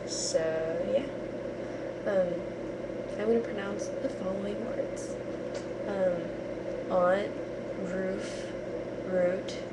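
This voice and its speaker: A woman's voice: medium pitch, fairly even intonation, very slow, pauses often, very noisy. A teenage girl from Puerto Rico vlogging casually from her bedroom on a webcam, rambling with many ums and likes. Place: bedroom, indoors. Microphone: built-in webcam mic.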